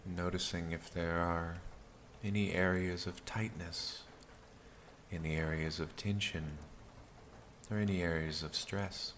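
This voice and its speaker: Male meditation guide, low-pitched and unhurried, calm and gentle, over a steady rain backtrack. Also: a little hiss or hum. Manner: calm, soothing, gentle phrasing with long pauses. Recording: steady rain sound behind the voice.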